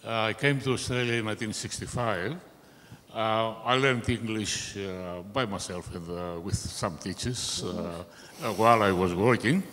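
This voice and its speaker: A man's voice: fairly low pitch, moderate pace, a bit bright, a little hiss or hum. An older man with a Greek accent and Greek as his first language, introducing himself with frequent hesitations. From Greece. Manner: many hesitations, uh before phrases. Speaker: panelist. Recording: echoey hall.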